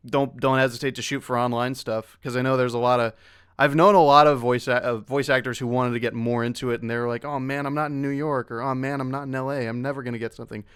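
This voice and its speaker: A man with a clear, smooth voice in a middle register chats casually on a panel. He is talkative and encouraging, slipping in joking asides, and the recording is dry and close. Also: mid-range voice, steady tone, fast talker, a bit bright, no echo. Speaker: voice actor on an online convention panel.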